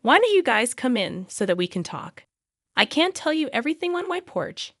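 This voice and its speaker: A woman's voice: high-pitched, very animated, normal speed, fairly bright tone, no room sound, clean studio sound, exaggerated voice. A woman voicing a wronged, defiant young wife in a narrated story, challenging with questions. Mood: proud.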